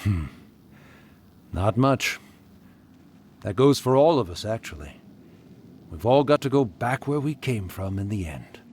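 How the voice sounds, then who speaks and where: A man's voice: mid-range voice, a little mellow. An actor playing a genial, centuries-old male spirit in a video game, wry, affectionate and theatrical like an old uncle. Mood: nostalgic. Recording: clearly audible background noise.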